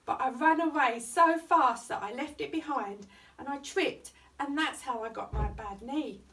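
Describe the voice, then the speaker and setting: A woman giving an expressive reading of a children's bedtime story. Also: high voice, unhurried, recorded from a distance, soft background music. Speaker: storytime reader at a primary school.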